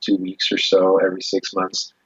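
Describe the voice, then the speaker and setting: Low-voiced hesitant man, dull lo-fi sound. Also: completely flat delivery, normal speed, slightly rough. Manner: hesitant, many restarts and fillers. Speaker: guest. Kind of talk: casual conversation.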